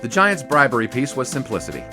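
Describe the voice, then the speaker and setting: A man's voice: mid-range voice, wildly swooping pitch, fast, over music. An actor playing a male 1920s sportswriter who narrates his own story with wry reflection.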